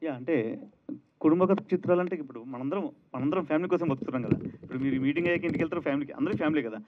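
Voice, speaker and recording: Man, rapid speech, slightly high pitch, earnest explaining, press answer, narrow phone-like audio. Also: background noise. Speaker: film director. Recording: thin, phone-like event audio.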